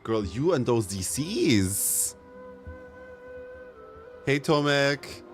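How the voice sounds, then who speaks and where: A man's voice: medium pitch, big pitch swings, extremely slow. A man chatting casually and slangily as he streams a game. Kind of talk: casual livestream chat while gaming. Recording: loud background music. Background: music.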